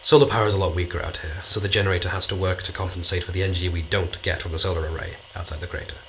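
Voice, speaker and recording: A man's voice: low voice, steady tone, very fast. An actor playing a dry, understated astronaut scientist narrating his log in an audio drama. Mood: matter-of-fact. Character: dry, matter-of-fact male astronaut scientist keeping a log. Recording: dull, noisy recorded log.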